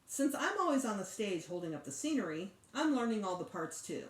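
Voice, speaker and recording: A woman reads a children's story aloud as a teacher would. Her intonation swings in a lively, expressive way at a middling pitch. The room adds a clear echo around her voice. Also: a little fast. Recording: roomy echo.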